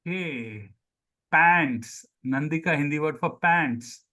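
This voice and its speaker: A man with an Indian accent and a somewhat high voice leads an online language lesson. A native Sindhi speaker, he repeats words for his pupils and explains them as he goes. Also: slow, sharp tone. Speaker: Hindi teacher.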